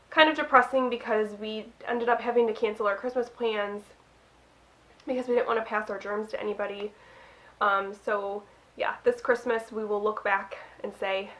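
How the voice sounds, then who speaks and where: A woman's voice: a little high, a bit bright, poor audio. A young woman with a stuffy nose from a cold, chatting casually to camera about her reading, with lots of little "um"s and laughs. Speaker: book vlogger.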